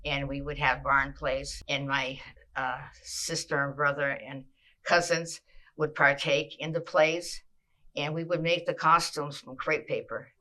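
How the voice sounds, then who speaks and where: An older woman from Massachusetts, recalling her childhood in an oral history interview, with a measured pace and frequent pauses. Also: a bass voice, pushed and bright, low-quality recording.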